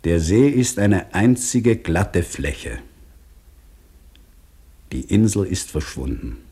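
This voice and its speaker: Sober working man's diary: very low, dark tone, measured radio drama delivery. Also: big pitch swings, husky, full character voice. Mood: sober, reflective. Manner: measured, terse, reflective. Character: sober, weary male dam labourer reading his diary.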